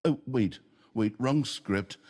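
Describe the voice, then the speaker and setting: A man's voice: mid-range voice, takes it slowly, darkish tone. A man narrating a comedic fantasy game story in a theatrical, mock-grand storyteller manner with a wry delivery. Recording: music under the voice. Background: music.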